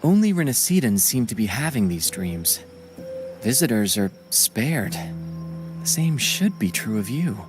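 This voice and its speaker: A male troupe member from a video game, explaining in a measured, serious manner. His voice sits at an average male pitch, with music clearly behind it. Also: sing-song. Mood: serious, concerned. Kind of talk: scripted game dialogue.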